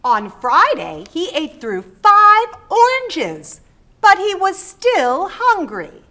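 Slow, bright woman reading a storybook. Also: extremely high pitch, huge pitch swings. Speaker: reader's theater performer. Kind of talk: expressive storybook read-aloud. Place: theater stage.

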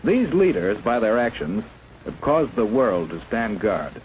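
Old 1950s film narrator guy, super lively pitch, dramatic and emphatic, bit of hiss on the track. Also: measured pace, rough recording. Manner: emphatic, declamatory delivery.

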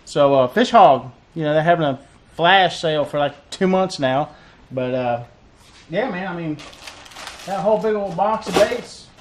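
Rambling man with a higher voice. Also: lively intonation, a little slow, a little hiss or hum. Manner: rambling, repeats phrases, many fillers. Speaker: fishing vlogger. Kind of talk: casual unboxing chat. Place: cluttered tackle room indoors. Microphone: camera on desk.

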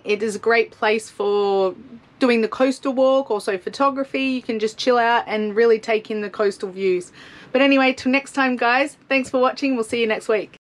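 A woman, chatting cheerfully to camera in a camper van, filmed with a close handheld camera. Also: a little high. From Australia. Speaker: travel vlogger. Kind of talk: casual travel vlog.

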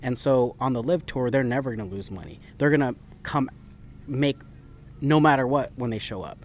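Man, young adult, born in the Philippines, relaxed, loose run-on phrasing, faint background hum. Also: medium pitch, normal speed. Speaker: PGA Tour caddie, guest. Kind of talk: casual interview answers.

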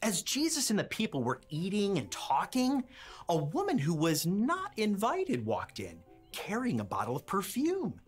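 Friendly mail carrier storyteller for kids: husky, unhurried, expressive delivery. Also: female voice, mid-range voice, faint music behind, exaggerated voice. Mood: earnest storytelling. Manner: expressive, simple, emphatic phrasing. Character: friendly mailman storyteller, addressing children as friends. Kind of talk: children's Bible storytelling.

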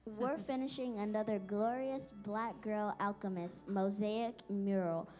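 A woman's voice: mid-range voice, steady tone, unhurried, a little mellow, faint music behind. Woman voicing an upbeat announcement. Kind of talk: scripted video voice-over.

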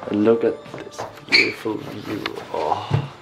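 A man's voice: lowish voice, takes it slowly, gravelly, loud music behind the voice. A young man chatting casually through a travel vlog and unsure how to say the local place names. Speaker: vlogger. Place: inside a car and a small guest room.

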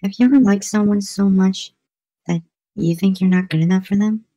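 A woman's voice: medium pitch, steady tone, moderate pace, warm tone, husky, no echo, lightly acted, low-quality recording. A woman voicing a lovesick, downcast cartoon schoolboy in an amateur comic dub, earnest and plaintive. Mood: tender, earnest.

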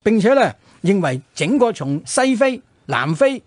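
A man's voice: sits high, sing-song, measured pace, dry recording, studio quality. A man with a Hong Kong Cantonese accent hosting radio, telling history in a conversational way full of colloquial sentence-ending particles. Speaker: radio host. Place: radio studio.

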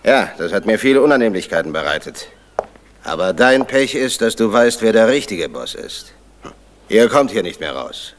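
An actor playing a secret crime boss in a 1970s radio drama, a false friend who is politely menacing. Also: male voice, lowish voice, big pitch swings, normal speed, a little hiss or hum. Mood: calm menace.

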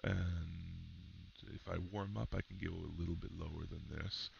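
Very deep gravelly man, headset mic. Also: muffled recording, slight background noise. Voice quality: very low, deep voice. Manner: relaxed, explanatory, halting. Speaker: person testing a microphone. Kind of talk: casual spoken mic test. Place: indoors at a computer. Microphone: headset microphone.

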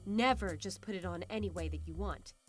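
A woman playing a friendly cartoon teacher, giving scripted, instructive narration to young children in an expressive way. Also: on the low side, fairly quick, close to an everyday voice. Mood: cheerful, instructive. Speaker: presenter of children's road safety cartoon. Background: music.

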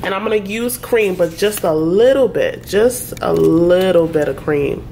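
A woman's voice: fairly low pitch, measured pace, gravelly, dark tone. Young woman, home cooking vlogger, easygoing patter, kitchen noise and sizzle in the background. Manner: chatty, lists ingredients as she cooks. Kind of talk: casual cooking vlog narration.

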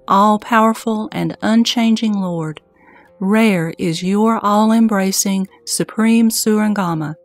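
A woman reciting Buddhist verse in a solemn, reverent, deliberate manner. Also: medium pitch, no echo. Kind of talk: scripted devotional verse recitation.

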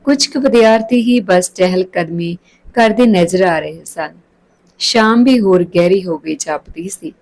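A woman reading a scripted story as a storyteller, with expressive, literary delivery. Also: fairly low pitch, measured pace, vocal fry, low-quality recording.

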